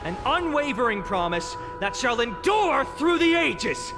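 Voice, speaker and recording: Brief: earnest young male champion, high, unhurried solemn oratory, long hall reverb, soft score behind. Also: sing-song, loud music behind the voice, heavily acted. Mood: solemn rousing. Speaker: speaker addressing an assembly. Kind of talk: video game voice acting.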